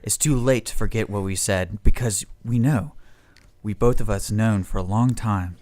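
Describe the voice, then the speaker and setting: A man's voice: fairly low pitch, normal speed, a little mellow, no room sound, lightly acted. Man reading as an ardent, pleading 1940s lover. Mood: earnest, pressing. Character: ardent, insistent 1940s man declaring forbidden love. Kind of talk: amateur radio play reading.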